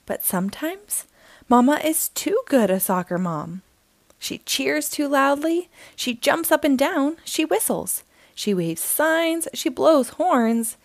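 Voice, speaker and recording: Woman storytime reader, bright high voice with sing-song lift, dry close mic. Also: no echo. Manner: slow, expressive, sing-song reading. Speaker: storytime host reading aloud. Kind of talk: children's picture book read-aloud.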